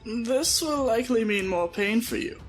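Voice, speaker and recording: Deferential audio-story aide, played by a male narrator: methodical and formal, lively intonation, music underneath. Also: very high-pitched, moderate pace, voice pushed up. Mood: earnest, cautious. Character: deferential, methodical male subordinate reporting to his leader.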